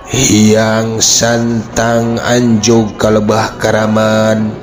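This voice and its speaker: A man with a Sundanese accent narrating a folk tale as a dramatic storyteller. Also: lowish voice.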